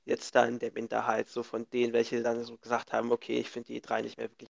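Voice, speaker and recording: A man's voice: medium pitch, monotone, fast talker, gravelly, lo-fi sound. A young man co-hosting a casual gaming podcast, a bit sick and congested, rambling with many restarts and fillers. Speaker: podcast co-host. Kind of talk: casual chat.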